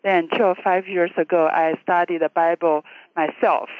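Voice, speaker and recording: A woman from China and Taiwan, calling into a radio show and speaking haltingly with many fillers. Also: lowish voice, steady tone, no echo. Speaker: caller. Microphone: telephone. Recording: tinny phone line.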